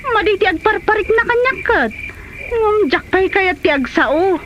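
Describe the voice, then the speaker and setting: A woman's voice: extremely high pitch, lively intonation, normal speed, background noise. A woman playing a suspicious, aggrieved wife in an Ilocano comedy drama. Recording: muffled lo-fi audio under clear music.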